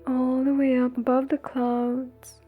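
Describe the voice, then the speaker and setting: A woman leading a guided meditation in a soothing, breathy, gentle way, leaving long pauses between phrases. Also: high voice, completely flat delivery, narrow, tinny audio. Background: faint music.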